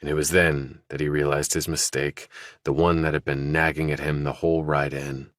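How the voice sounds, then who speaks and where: Male audiobook narrator for thriller fiction. Extremely low pitch, dry recording. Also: flat delivery, normal speed, fairly bright tone, no echo.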